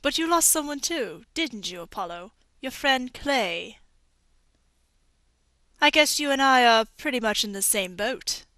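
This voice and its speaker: A woman playing a bitter, sharp-tongued robot engineer in a video game fan dub, brusque and mocking. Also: on the high side, lively intonation, measured pace, no echo. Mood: grieving, wistful.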